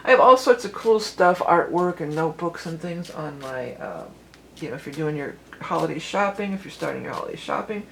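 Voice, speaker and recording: A woman with a lowered voice, doing a chatty, rambling tarot reading for her vlog, full of fillers and restarts. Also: big pitch swings, fairly quick, gravelly, a little hiss or hum, poor audio. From Cleveland, Ohio. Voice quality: lower voice than she once had. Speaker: tarot reader and musician.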